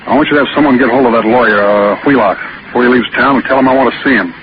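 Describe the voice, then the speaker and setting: A man's voice: medium pitch, very fast, close to an everyday voice. Man acting tough probing police chief, straight to the point, muffled noisy old radio. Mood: brisk. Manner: matter-of-fact, probing. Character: brisk, shrewd, tough small-town police chief.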